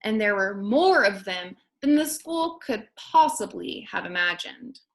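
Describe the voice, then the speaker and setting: A woman, a kindergarten teacher, reading a children's storybook aloud expressively and deliberately to young listeners. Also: medium pitch, very animated.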